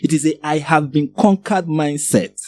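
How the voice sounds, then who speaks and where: A man's voice: fairly high pitch, moderate pace, darkish tone, dry recording, studio quality. A young Nigerian man with a Nigerian accent, giving mocking, sarcastic reaction commentary.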